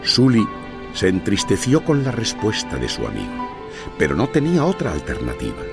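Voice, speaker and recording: A man narrating a children's story in an expressive, theatrical scripted style. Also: on the low side, normal speed, gravelly, over music.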